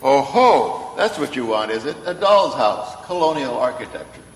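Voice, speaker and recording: A man playing a kindly, jolly old Santa Claus figure in an old-time radio play, gentle and avuncular. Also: mid-range voice, sing-song, normal speed, close to an everyday voice. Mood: surprised, coaxing. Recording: echoey hall, faint noise.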